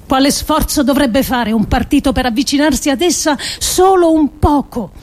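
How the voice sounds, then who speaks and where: A woman delivering a theatrical radio monologue in speech, deliberate and emphatic, with dramatic pauses. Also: high voice. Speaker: actress reading adapted essay.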